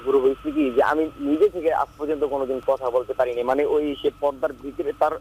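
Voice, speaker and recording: A young man phones an advice show. He sits slightly high in pitch and sounds hesitant and pleading, stumbling and repeating his words, over a faint hiss. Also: animated, quick pace, creaky, dull audio. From Bangladesh. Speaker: caller.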